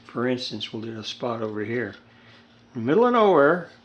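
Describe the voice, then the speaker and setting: A man's voice: medium pitch, fairly quick, dull audio. A man in his seventies giving a casual, rambling, folksy hands-on repair demonstration with dry humor. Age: 70s. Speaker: hobbyist radio restorer. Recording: steady background noise, homemade video.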